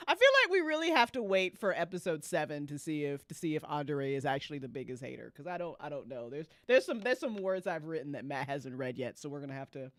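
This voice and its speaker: A woman hosting a casual after-show chat, excitable and playful, often repeating herself. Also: low-pitched, fast talker, few pauses, no room sound, studio quality. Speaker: host.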